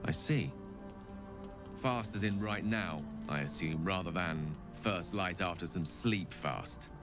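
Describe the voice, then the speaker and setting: A man's voice: low-pitched, slow, music in the background. An actor playing a witty male wizard in a video game, speaking politely and articulately, with dry humor. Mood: concerned. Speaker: game character.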